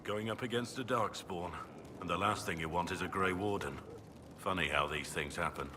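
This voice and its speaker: An actor playing a wry, seasoned warrior in a video game, with a dry, understated delivery. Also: male voice, sits low, moderate pace, lightly acted. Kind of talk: video game cutscene acting. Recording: clear background noise.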